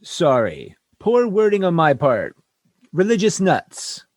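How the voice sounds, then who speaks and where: A man's voice: fairly high pitch, wildly swooping pitch, takes it slowly, heavily acted. A man playing an exasperated, tactless human starship captain in a sci-fi radio comedy, blustering and pleading as he talks.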